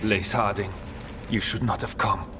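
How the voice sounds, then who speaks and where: Man playing a solemn, low-voiced dwarf. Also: steady tone, noisy background, natural voice. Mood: grave, grateful. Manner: solemn, formal, measured phrasing. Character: grave, formal dwarven man rescued from underground. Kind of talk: video game voice acting. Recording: music under the voice. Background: game music.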